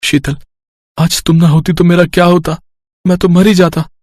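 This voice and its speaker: A man's voice: high-pitched, level tone, normal speed, a little mellow, no echo, studio quality, close to an everyday voice. A man playing an anxious, trusting younger brother and husband in a storybook tale, earnest and pleading. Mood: anxious, tender.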